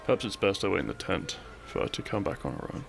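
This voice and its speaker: A man playing a runaway royal turned outlaw, a weary, tender and gently pleading lover in a romantic audio roleplay, with soft, sighing delivery. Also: sits low, very animated, fast talker, creaky, over music, full character voice. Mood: injured, resigned.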